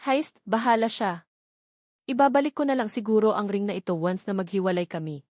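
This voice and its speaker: A woman's voice: mid-range voice, fairly quick, darkish tone, no echo, clean studio sound. A woman with a Filipino accent reading a scripted first-person romance novel as an audiobook narrator.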